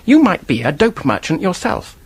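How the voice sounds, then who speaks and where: An actor playing an earnest, defensive office worker in a radio mystery, husky-voiced, hesitant and blurting, with a temper underneath. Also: male voice, sits high, huge pitch swings, moderate pace, darkish tone, heavily acted. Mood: resentful. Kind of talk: radio drama acting, detective mystery.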